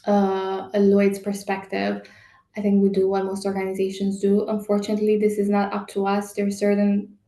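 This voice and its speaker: A woman speaking as an HR and diversity leader, slow to find her words and filling pauses often. Her voice is gravelly and mellow, held at a flat, even pitch. The recording sounds roomy and echoey. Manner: hesitant, many fillers. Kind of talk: panel discussion answer.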